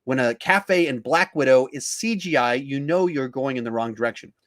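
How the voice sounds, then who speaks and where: A man with a medium-pitched voice hosts a casual podcast. He talks through his points conversationally, as if in an informal discussion about films. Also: normal speed, fairly bright tone. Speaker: podcast host.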